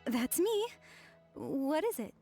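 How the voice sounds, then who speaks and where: A woman playing a young researcher in a video game, lovesick, prickly and defensive, with an indignant and expressive delivery. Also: sits high, takes it slowly, faint music behind, full character voice. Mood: bitter, defensive. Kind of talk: anime-style video game voice acting.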